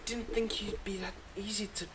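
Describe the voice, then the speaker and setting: A man's voice: sits high, little pitch movement, moderate pace, a bit bright. Man acting as weary supervillain, sardonic yet kind, hesitant conversational delivery, intimate roleplay monologue, faint background noise. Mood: surprised, hopeful. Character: weary, wry, teasing supervillain with memory powers, secretly kind.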